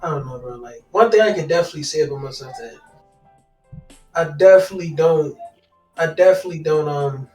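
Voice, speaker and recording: A man in casual podcast chat who talks haltingly, searching for the right words and swearing casually. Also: a little high, a little mellow, soft background music. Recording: echoey, distant from the mic.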